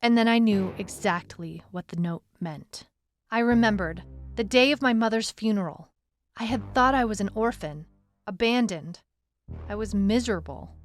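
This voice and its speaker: A woman's voice: a little high, natural voice. A woman playing a determined detective who narrates her own case in a scripted audio drama. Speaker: lead narrator.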